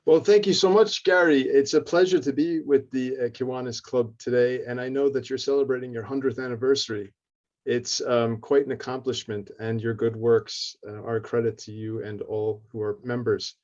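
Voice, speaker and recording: A man with an Irish accent, a guest speaker giving a gracious, halting talk over a video call. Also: mid-range voice, steady tone, darkish tone. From Ireland. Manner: gracious, halting, many fillers. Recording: muffled video call audio.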